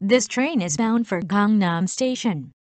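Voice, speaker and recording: A woman reading scripted subway announcements as a train announcer, in a formal and deliberate manner. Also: mid-range voice, expressive, darkish tone.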